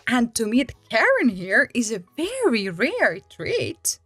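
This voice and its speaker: A woman from Finland hosting a story channel, reading aloud in a dramatic, expressive way. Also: fairly high pitch, slow, slightly rough, no room sound. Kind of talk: animated read-aloud storytelling. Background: background music.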